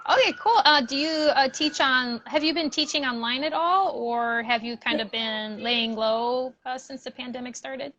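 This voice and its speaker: A woman's voice: high voice, moderate pace, few pauses, bright, forceful tone, dull audio, a little hiss or hum. A woman hosting a casual interview, asking questions and giving short, encouraging affirmations. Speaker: host.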